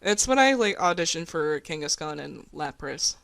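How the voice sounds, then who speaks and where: A woman's voice: low voice, very animated, moderate pace, creaky, a bit bright, dry recording. A woman chatting casually among fellow hobby voice actors. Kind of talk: casual chat.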